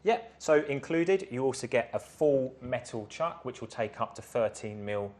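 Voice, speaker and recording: Guy in his 30s doing a live product demo, casual and explanatory, average pitched voice. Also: expressive, moderate pace, darkish tone. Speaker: product demonstrator. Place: indoor tool workshop showroom.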